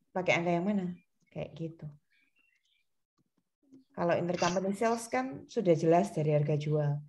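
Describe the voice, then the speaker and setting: A woman's voice: medium pitch, a little mellow. A woman teacher leading an online lesson, prompting students with questions. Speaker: accounting teacher. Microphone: video call.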